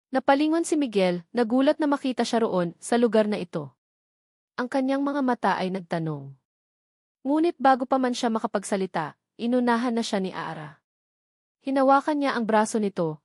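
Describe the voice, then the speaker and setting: A woman's voice: a little high, animated, moderate pace, darkish tone, no echo, clean studio sound. A woman reading a dramatic scripted story as an audiobook narrator, with an emotive storytelling delivery.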